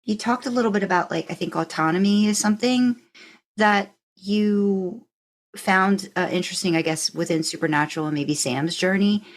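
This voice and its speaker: A woman's voice: mid-range voice, normal speed. A woman hosting a casual podcast interview, encouraging and affirming, thinking aloud as she rephrases her questions. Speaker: podcast host interviewing a guest.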